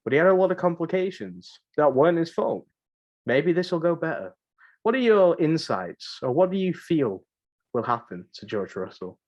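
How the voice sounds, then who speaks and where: A man's voice: on the high side, sing-song, moderate pace, a little mellow. Man chatting on a lo-fi podcast. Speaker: podcast host. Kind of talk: casual podcast chat.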